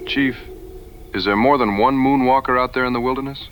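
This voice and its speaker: A man's voice: mid-range voice, fairly even intonation, a little fast, very noisy, lightly acted. A man playing a plainspoken, skeptical frontier hunter in an audio drama, earnest and folksy in his backwoods phrasing. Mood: concerned.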